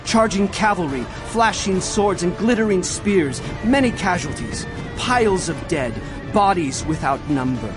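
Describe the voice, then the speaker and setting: A man's voice: high voice, expressive, a little slow, few pauses, music in the background. A man playing a prophet narrator, a solemn and urgent herald of doom, in an emphatic dramatized scripture reading. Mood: grim.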